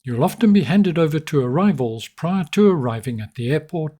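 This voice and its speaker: A man's voice: on the high side, a little mellow, slightly rough. A man narrating an instructional tutorial in an orderly, explanatory way. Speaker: flight sim tutorial narrator.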